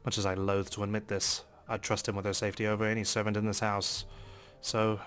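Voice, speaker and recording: Low-voiced man narrating a game story. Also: little pitch movement, fairly quick, a bit bright. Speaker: let's play host reading a visual novel aloud. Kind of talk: dramatic first-person story narration. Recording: music under the voice.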